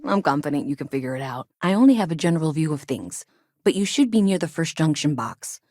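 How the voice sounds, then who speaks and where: Chatty nervous engineer woman from a space game, lower-ish voice, kinda wry n hesitant, super dry recording. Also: lively intonation, fast, darkish tone, dry recording. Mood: friendly, businesslike. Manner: conversational, hesitant, wry asides. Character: anxious, earnest, chatty woman engineer in sci-fi colony. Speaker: video game character. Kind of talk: naturalistic video game dialogue acting.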